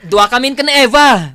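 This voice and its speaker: An actor playing a cheerful young man in an Ilocano radio drama. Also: very high voice.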